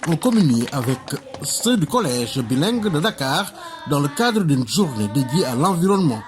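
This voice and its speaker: A man narrating a news report as voice-over in a measured, lively delivery. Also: a little high, over music.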